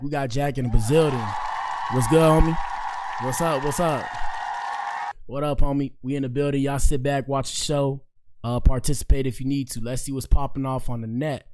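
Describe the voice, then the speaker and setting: A young man from Miami-Dade County with a hoarse, partly lost voice, hosting a casual podcast livestream. He rambles loosely in slang and speaks straight to his chat. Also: mid-range voice, noisy background. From Miami-Dade County, Florida. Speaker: podcast host.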